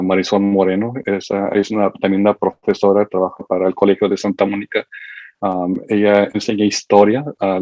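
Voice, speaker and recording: A middle-aged man from the United States, giving a seminar presentation over a video call in a fairly low voice. He talks haltingly and nervously and fills every pause. The sound is dull, lo-fi and dry, with no room around it. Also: darkish tone, no room sound. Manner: halting and hesitant, full of fillers, admits to nerves. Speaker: university education researcher presenting at a seminar.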